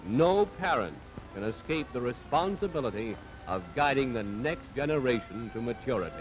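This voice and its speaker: A man's voice: mid-range voice, lively intonation, moderate pace, music in the background. An American man delivering scripted 1940s propaganda film narration in an earnest, authoritative, moralizing manner. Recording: dull vintage 1940s film soundtrack.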